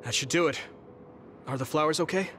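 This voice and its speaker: A man's voice: a little high, a little fast, noisy background, close to an everyday voice. A man playing a kind, protective young soldier in an anime-style video game, speaking in a friendly, earnest, reassuring way. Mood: concerned.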